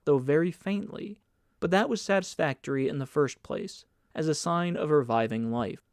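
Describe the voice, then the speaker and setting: Higher-pitched male audiobook narrator, dry sound. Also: darkish tone, dry recording. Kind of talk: scripted literary narration.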